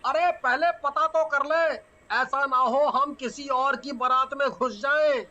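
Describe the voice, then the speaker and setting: A man voicing an excitable young traveller in a cartoon story, with exclamatory delivery. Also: extremely high pitch, unhurried, dull audio, heavily acted. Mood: eager. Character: excitable young male friends on a night journey.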